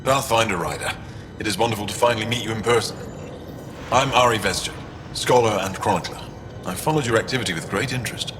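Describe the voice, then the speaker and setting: Man playing a low-voiced courtly alien scholar. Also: fast, close to an everyday voice. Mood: delighted, formal. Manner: formal, eloquent. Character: courtly, earnest male alien scholar and chronicler. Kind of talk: video game voice acting. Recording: long reverb, clear background noise.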